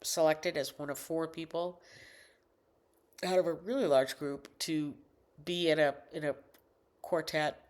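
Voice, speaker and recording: An older woman with a low alto voice talking casually into a desk microphone at home, rambling, halting often and correcting herself mid-sentence. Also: steady tone, darkish tone. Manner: rambling, halting, many restarts and self-corrections. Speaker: vlogger. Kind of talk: casual personal monologue.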